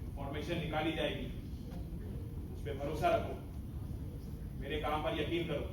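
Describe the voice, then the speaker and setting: A man's voice: fairly high pitch, flat delivery, normal speed, lightly acted. A man playing a principled, commanding army major in a theatrical stage play, emphatic and mixing in English orders. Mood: calm, firm. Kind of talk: theatrical Hindi stage acting. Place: stage of a hall at a student festival. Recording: echoey hall, far mic, faint noise.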